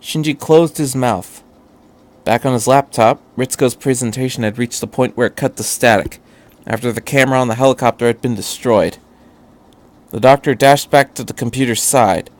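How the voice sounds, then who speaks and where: A man's voice: mid-range voice, moderate pace. A man reading a story aloud as narrator, in a scripted storytelling style. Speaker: fan fiction reader.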